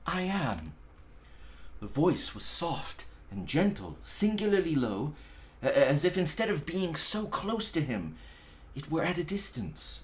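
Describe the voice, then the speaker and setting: A man's voice: on the high side, very animated, a little slow. A man reading a classic story aloud in a dramatic, emphatic manner, now and then stumbling over a word. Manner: theatrical, emphatic, occasionally stumbling over words.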